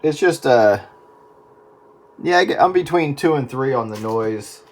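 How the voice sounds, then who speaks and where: A man talks casually with a folksy, slightly hesitant delivery, like an amateur operator in a relaxed on-air conversation. His voice sits in a middle register and comes over a two-way radio. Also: medium pitch, unhurried. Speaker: amateur radio operator in a round-table chat.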